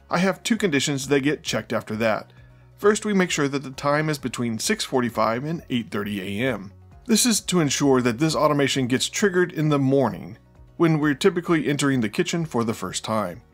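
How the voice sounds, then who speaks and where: A middle-aged man, presenting a scripted tutorial in a plain-spoken, explanatory manner. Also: medium pitch. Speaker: host of a smart home tutorial channel.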